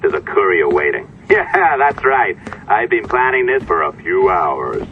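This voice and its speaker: An actor playing a sarcastic, taunting hacker and blackmailer in a video game, with a conspiratorial manner. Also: male voice, low voice, sing-song, a little slow, phone-quality sound, slight background noise, heavily acted. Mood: gloating, menacing. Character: sneering scheming man, hacker blackmailing the listener, taunting.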